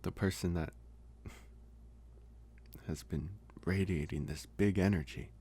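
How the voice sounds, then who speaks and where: A man playing a gentle, lonely young college vampire in an intimate ASMR roleplay, speaking softly and haltingly with long pauses. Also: low-pitched, husky, full character voice. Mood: thoughtful, tender.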